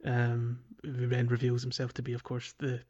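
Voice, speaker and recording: A man co-hosting a podcast, chatting casually about a video game in a halting way, often restarting his sentences. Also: medium pitch, monotone, a little mellow, lo-fi sound. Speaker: podcast co-host.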